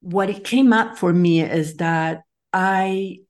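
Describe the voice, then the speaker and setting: Low-voiced Nicaraguan woman, thoughtful guest. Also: slow. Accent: Nicaraguan Spanish. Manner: thoughtful, restarts and searches for words. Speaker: guest, leadership coach. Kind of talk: conversational interview.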